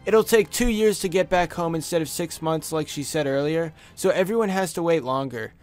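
A man with a noticeably high voice narrates scripted horror stories as a video host. He speaks close to the microphone. Faint music plays behind him. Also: normal speed, dry recording. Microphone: close studio USB microphone.

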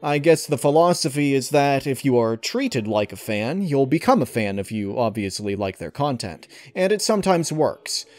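A man answering interview questions in a thoughtful, articulate way, with formal and deliberate wording. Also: mid-range voice, big pitch swings, darkish tone. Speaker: channel host and audio story reader being interviewed.

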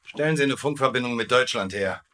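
An actor playing a cocky, wisecracking military officer, breezy and teasing, in a dubbed sitcom. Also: male voice, mid-range voice, quick pace, sharp tone, natural voice. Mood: bluffing, businesslike. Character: cocky, wisecracking officer bluffing his captive.

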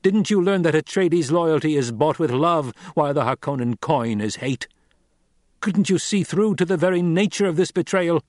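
A man voicing a young nobleman in an audiobook, a fiercely loyal son who speaks with deliberate, commanding intensity and deadly control. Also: fairly high pitch, animated, darkish tone, dry recording, studio quality, voice pushed up, lightly acted. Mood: reproachful, intense. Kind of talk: audiobook character acting.

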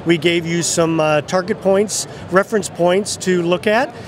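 High-voiced older man, friendly and hesitant. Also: unhurried. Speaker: case company exhibitor. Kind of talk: casual interview explanation. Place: exhibit booth in large arena. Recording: clear expo-hall background noise.

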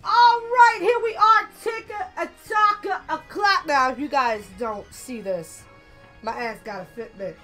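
Raspy high-voiced woman gaming on a headset. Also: measured pace, soft background music. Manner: chatty, swears often, loud outbursts at the game. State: a little sick. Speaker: gaming channel host. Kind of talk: casual let's-play commentary.

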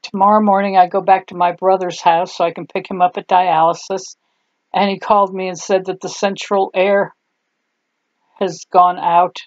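A woman's voice: on the low side, little pitch movement, normal speed, dry recording, muffled recording. An older woman, German her first language, chatting in a homey, rambling way while she shows a clay craft, tired at the end of the day. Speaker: craft tutorial host. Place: home craft table, indoors.

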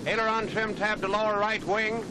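Male actor for a 1940s officer giving crisp commands, high and flat, heard from afar. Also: a little fast, close to an everyday voice. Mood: commanding. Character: army air officer barking control commands. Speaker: officer calling out control commands. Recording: echoey, distant, noisy 1940s film sound.

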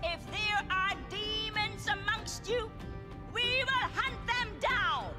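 An actress playing a grandiose, menacing female general, speaking in a measured, self-righteous and pompous way. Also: very high voice, over loud music. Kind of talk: theatrical interview speech.

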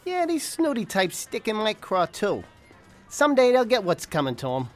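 A woman's voice: mid-range voice, huge pitch swings, moderate pace, soft background music. A woman playing a breezy, streetwise businessman in a 1920s-style radio drama, slangy and wisecracking, friendly but guarded.

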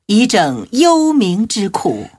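A woman giving a solemn, measured and expressive scripted narration of classical religious biography. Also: medium pitch, slightly rough, dry recording, studio quality.

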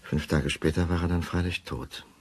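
An actor playing a grave, obsessive doctor confessing in a brooding, deliberate radio drama monologue. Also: male voice, sits low, fairly even intonation, slightly rough.

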